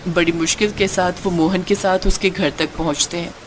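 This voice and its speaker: A woman's voice: lowish voice, fairly even intonation, moderate pace, rough recording. Woman narrating a story, faint background noise. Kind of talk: scripted cartoon story narration.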